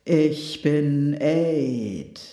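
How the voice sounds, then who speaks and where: A woman voicing a talking candle flame, the keeper of fire, who speaks slowly and solemnly and allows no objection. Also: sits low, expressive, mellow, roomy sound, heavily acted.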